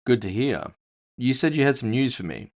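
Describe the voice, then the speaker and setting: A man's voice: mid-range voice, expressive, moderate pace, pitched above the performer's own voice, lightly acted. A man playing a world-weary bounty hunter with magic powers, telling his story tersely in a scripted audio fiction. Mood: wary, terse. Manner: gritty, terse, first-person storytelling.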